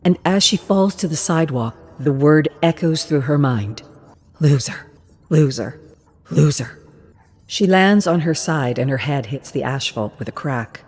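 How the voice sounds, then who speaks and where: A woman narrating a dramatic scripted story in a measured, hushed and intense manner. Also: low-pitched, lively intonation.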